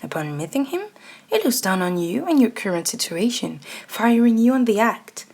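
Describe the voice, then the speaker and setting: A woman's voice: fairly high pitch, big pitch swings, moderate pace, a little mellow. A woman reading a scripted second-person ASMR story as its narrator. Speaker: narrator and voice actor.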